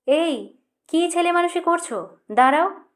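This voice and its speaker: A woman reader acts out an affectionate young woman from an audiobook. She fusses and coaxes anxiously in a high, insistent voice. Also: expressive, measured pace. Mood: pleading. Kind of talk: audiobook character dialogue.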